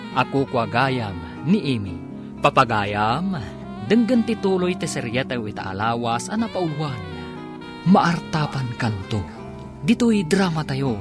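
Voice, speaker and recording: A man with an Ilocano accent delivering scripted, dramatic narration as a radio drama announcer. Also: medium pitch. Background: music.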